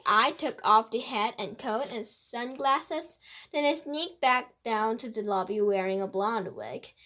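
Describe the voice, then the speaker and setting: A mischievous woman writer from a children's mystery, as voiced by a woman reader. Her voice is on the high side, and she explains herself with playful, animated emphasis.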